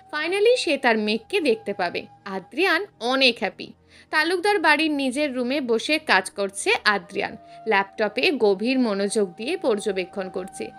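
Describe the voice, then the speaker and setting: High, animated woman storyteller. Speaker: story narrator. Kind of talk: scripted narration of a romantic serial story.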